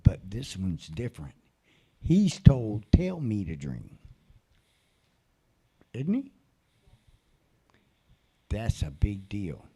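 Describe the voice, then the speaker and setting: Animated man with a mellow voice. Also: fairly low pitch, extremely slow, long pauses, breathy edge. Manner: animated storyteller who acts out quotes. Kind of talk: church bible discussion.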